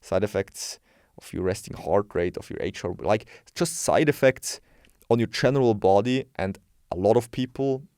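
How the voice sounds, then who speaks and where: Man, 20s, frank and opinionated, fairly low voice, dry close sound. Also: expressive, moderate pace, darkish tone, clear, resonant tone, dry recording. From Switzerland. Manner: frank, opinionated, thinks aloud with restarts. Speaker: guest, bodybuilding and health coach. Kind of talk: casual podcast interview.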